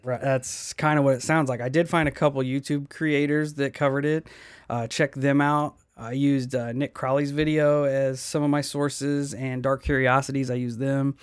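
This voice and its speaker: A laid-back man hosting a casual podcast who swears freely and sometimes trips over hard names as he tells a mystery story. His voice sits at a middle pitch and is recorded close on a dry mic. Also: little pitch movement, moderate pace, clean tone, dry recording. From United States. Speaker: podcast host.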